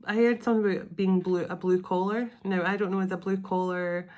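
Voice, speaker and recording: A middle-aged woman with a sniffly, congested voice, giving a casual spiritual channeling reading, with soft invocations and chatty, rambling asides. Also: medium pitch, little pitch movement, brisk, highs cut off. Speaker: spiritual channeler and tarot reader.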